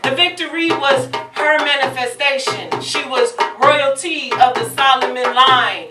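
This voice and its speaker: A woman's voice: sits high, a little slow. A woman performing rhythmic spoken word on stage, declaiming in a rhyming, sing-song way. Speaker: performance poet. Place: performance at a marketplace, far from the mic. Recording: distant echoey stage recording with loud music. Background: loud music.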